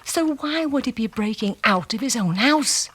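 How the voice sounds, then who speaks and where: An actress playing a nosy, bossy British wife in a 1970s radio drama, with a sharp-tongued, insistent manner. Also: female voice, a little high, lively intonation, moderate pace, slightly rough. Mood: nosy, excited.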